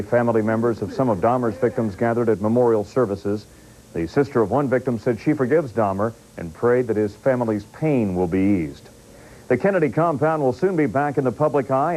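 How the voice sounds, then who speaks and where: A man's voice: medium pitch, a little mellow, poor audio. A man with an American accent reading scripted news as an anchor in a television studio.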